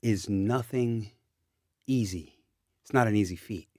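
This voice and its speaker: Enthusiastic low-voiced male podcast host. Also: measured pace, a little mellow, no room sound, studio quality. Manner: emphatic, enthusiastic, repeats phrases for emphasis. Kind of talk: casual podcast chat.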